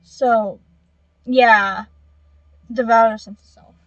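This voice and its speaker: A woman streaming a game with casual commentary, reacting and rambling in a halting way. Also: fairly high pitch, steady tone, a bit bright, no room sound, muffled recording.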